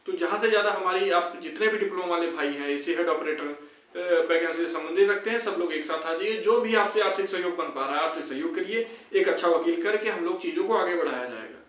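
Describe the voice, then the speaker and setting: A man's voice: sits high, brisk, fairly bright tone, dull audio. A young man gives an informal update, explaining and urging with frequent repetition. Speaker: video host addressing fellow job candidates. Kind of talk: informal update and appeal to viewers. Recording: very echoey room, distant microphone.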